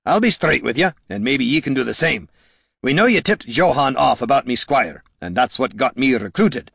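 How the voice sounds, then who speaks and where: A man voicing a gruff, shrewd, menacing dwarf adventurer with blunt rustic speech in a fantasy audiobook. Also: mid-range voice, animated, moderate pace, fairly bright tone, no room sound, clean studio sound, heavily acted. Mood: stern, shrewd.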